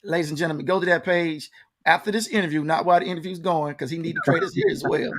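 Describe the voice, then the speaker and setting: A man's voice: fairly high pitch, very animated, fast. An American man hosting a casual, conversational interview in a folksy way, often repeating his phrases. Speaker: interview host.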